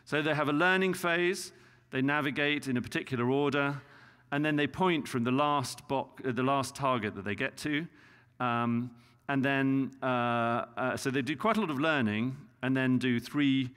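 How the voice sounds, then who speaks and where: A man's voice: mid-range voice, normal speed, fairly bright tone, smooth. British man lecturing in a reverberant hall. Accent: British English. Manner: explanatory, hesitant with frequent fillers and restarts. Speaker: keynote speaker. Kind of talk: conference lecture. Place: large conference hall.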